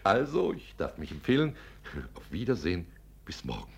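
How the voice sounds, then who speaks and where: Radio play swindler: low, creaky, flustered gallantry, stammering and effusive. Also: male voice, wildly swooping pitch, moderate pace, exaggerated voice. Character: flustered, courtly male confidence trickster posing as a gentleman. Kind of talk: 1950s German radio play acting.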